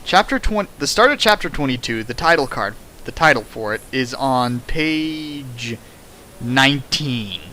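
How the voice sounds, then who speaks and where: A man's voice: medium pitch, lively intonation, unhurried, bright, forceful tone, a little hiss or hum, low-quality recording. A young man doing a comedic dramatic reading of a fan fiction, jokey and sarcastic, laughing between lines. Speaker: reader and riffer of a fan fiction.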